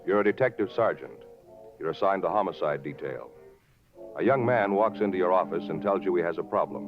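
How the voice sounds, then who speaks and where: A man announcing in 1950s radio style, emphatic, measured and formal. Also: low voice, over music. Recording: dull 1950s radio recording.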